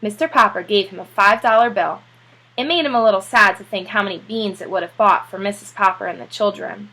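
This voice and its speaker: A woman narrating a children's storybook aloud like a teacher, with expressive delivery. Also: medium pitch, brisk, rough recording.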